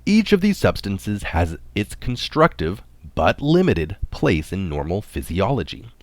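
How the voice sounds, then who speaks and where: A man reading a health article aloud in a measured, explanatory manner, sometimes adding his own notes. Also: low voice, very animated, no room sound.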